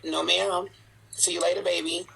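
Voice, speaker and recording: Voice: mid-range voice, little pitch movement, moderate pace, gravelly, sharp tone, reverberant, low-quality recording. A livestream host, born in Trinidad and raised in Boston, talking bluntly and sassily to viewers. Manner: blunt, sassy, outspoken. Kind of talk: livestream rant answering viewers.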